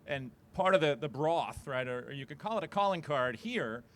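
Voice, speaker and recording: A man's voice: fairly high pitch, lively intonation, normal speed. A man moderating a conference panel, conversational, often checking in with "right?". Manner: conversational, seeks agreement with "right?".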